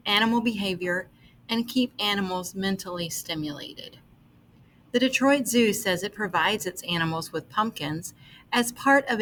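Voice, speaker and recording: A woman reading an article aloud as scripted narration, with measured, deliberate phrasing. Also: medium pitch, fairly bright tone, slight background noise, low-quality recording.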